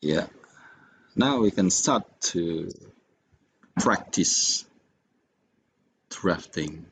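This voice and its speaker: A man with an Indonesian accent walks through a software tutorial in a low, creaky voice. He speaks haltingly and at a slow pace, feeling his way from step to step. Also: lively intonation, rough recording. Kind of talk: unscripted screen-recorded tutorial.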